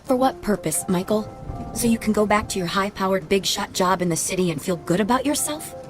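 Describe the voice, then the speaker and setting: An actress playing a bitter, guarded ex-wife in a radio drama, speaking in a sardonic, clipped, reproachful way. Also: female voice, mid-range voice, lively intonation, brisk, lightly acted. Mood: angry. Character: bitter, guarded, sarcastic ex-wife, no-nonsense woman. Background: faint background music.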